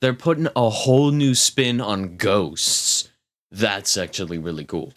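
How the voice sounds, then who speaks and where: A man's voice: lowish voice, a little slow. A young man giving casual, slangy reaction commentary as a video host, with puzzled asides.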